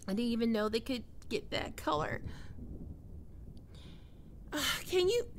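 A woman's voice: a little high, very animated, a little slow, slight background noise. A woman playing a defensive young half-vampire catgirl roommate in an ASMR roleplay, teasing and stammering with hesitant tsundere bluster. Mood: flustered, embarrassed.

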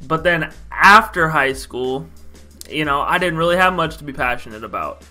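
A young American man from Idaho telling a story casually to camera, talking in an animated, slangy, emphatic way. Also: a little high, normal speed, faint music behind. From Idaho, United States. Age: young adult. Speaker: YouTube vlogger. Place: small bedroom, indoors.

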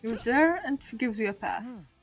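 Polish accented young woman streaming a game, rambly, pokes fun at herself, soft music underneath. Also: fairly high pitch, expressive, moderate pace. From Poland. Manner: rambling, self-mocking, laughs often between thoughts. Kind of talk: casual chat over gameplay.

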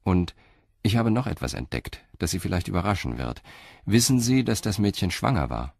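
A man voicing a matter-of-fact police doctor in a crime story, clinical and deliberate. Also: low voice, very animated, gravelly, darkish tone, no echo, clean studio sound, exaggerated voice. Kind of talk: character voice in crime story narration.

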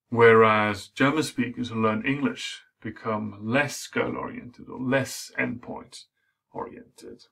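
A middle-aged man, a native Swedish speaker, giving an academic lecture in a measured way with frequent fillers. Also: mid-range voice, gravelly, a bit bright.

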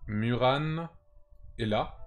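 A man's voice: mid-range voice, very slow. A young man from France, chatting casually and thinking aloud on a game livestream, close on the mic. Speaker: live streamer. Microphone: close boom mic with headset. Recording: music playing under the voice.

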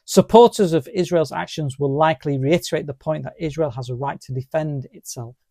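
A middle-aged man presenting a scripted legal explainer in a formal, deliberate manner. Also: fairly high pitch, creaky, warm tone, dry recording, studio quality. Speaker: presenter of legal education video.

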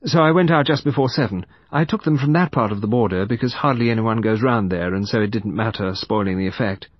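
A man voicing a brisk, defensive young upper-class woman in an audiobook, clipped and matter-of-fact. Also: lowish voice, dry recording, dull audio, close to an everyday voice. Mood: brisk, casual. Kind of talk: audiobook character voice.

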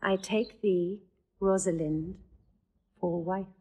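A woman's voice: fairly low pitch, fairly even intonation, warm tone, a little hiss or hum. A woman acting a witty young woman in love, teasing and playful in a stage drama. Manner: playful, quick-witted, teasing. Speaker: actor playing Rosalind.

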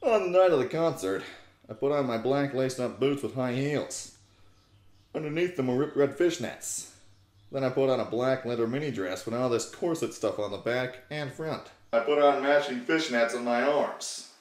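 Narrator: man, twenties, mid-pitched, theatrical and mock-serious storytelling, recorded at home with audible room. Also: animated, normal speed, echoey room. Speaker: narrator, YouTube host. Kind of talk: theatrical comic fan fiction reading. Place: small living room, indoors. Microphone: desktop USB microphone close by.

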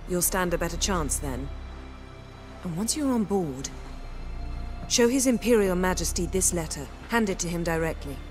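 A shrewd sorceress played by a woman with a fairly low voice. She is commanding, brisk and coolly political, delivering game dialogue over clear music. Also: expressive, a little mellow, natural voice. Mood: reassuring, instructing.

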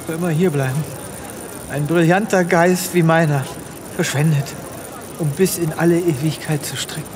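A man's voice: a little high, steady tone, normal speed, background noise, natural voice. A man playing a vain, pompous space veterinarian in a comic audio drama, with melodramatic delivery. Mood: resigned.

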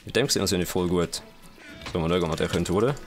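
A young man from Switzerland with a Swiss German accent, casually commenting live on his own gameplay, excitable and full of fillers. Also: sits low, soft background music. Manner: casual, excitable, many fillers and quick reactions. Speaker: gaming channel host.

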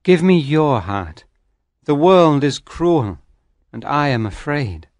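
A woman's voice: sits low, very animated, takes it slowly, a little mellow, dry recording, voice pushed down, exaggerated voice. A woman voicing the pleading, fearful soul of a young fisherman in a storybook, solemn and beseeching. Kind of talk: dramatic storybook character reading.

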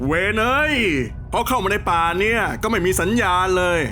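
A man plays a foul-mouthed, irritable monk for a horror cartoon. The voice is very high and forceful, coarse and grumbling, with music underneath. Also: moderate pace. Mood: annoyed grumbling. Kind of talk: animated horror story dialogue.

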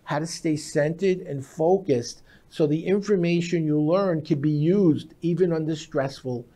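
A man's voice: fairly high pitch, measured pace, dark tone. A man in his sixties explaining things in an emphatic, instructive way, in short declarative sentences, as a guest expert in a studio interview. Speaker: guest expert, speed reading teacher. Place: TV-style studio at a glass desk. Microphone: lapel mic.

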